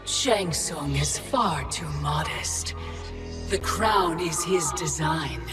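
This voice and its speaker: A woman's voice: deep voice, expressive, loud music behind the voice. An actress playing an ancient, coldly menacing female Titan in a video game, speaking in formal, commanding tones. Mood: cold, persuasive. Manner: slow, formal, commanding delivery. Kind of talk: dramatic video game villain acting.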